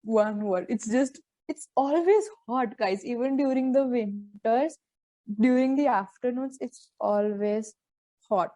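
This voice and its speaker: Teen girl host, Indian English, mellow tone, dry close sound, teasing. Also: a little high, slow pace, no room sound. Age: teens. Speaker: podcast host, interviewer. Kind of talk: casual chat podcast.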